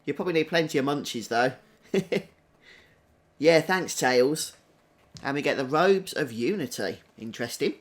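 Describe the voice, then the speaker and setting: A man's voice: a little high, lively intonation, moderate pace. A man giving casual live stream commentary as a game streamer. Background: game music behind the voice.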